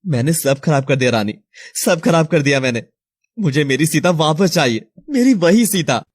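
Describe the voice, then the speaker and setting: A man's voice: a little high, animated, normal speed, background noise, lightly acted. A man acting a remorseful young married man in an audio story, speaking in an anguished, imploring, dramatic way. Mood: desperate pleading. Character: remorseful married young man pleading with his childhood love.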